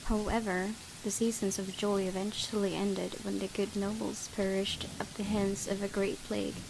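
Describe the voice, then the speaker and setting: A woman's voice: medium pitch, little pitch movement, normal speed, creaky, background noise. A woman who is not a native English speaker, hosting a let's play and reading game text aloud. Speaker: gaming video host.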